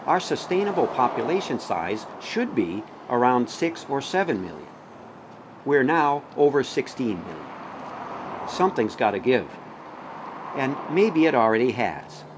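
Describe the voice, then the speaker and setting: Male narrator, scripted documentary read, lively varied pitch, clear ambient noise behind the voice.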